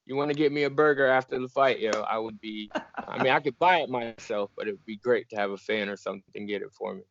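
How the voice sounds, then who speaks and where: A man's voice: mid-range voice, quick pace, slight background noise. A young man from Virginia, a fighter talking in a casual, easygoing way on a video call interview. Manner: relaxed, easygoing, laughs often. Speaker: bare-knuckle fighter, guest. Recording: muffled dry call audio.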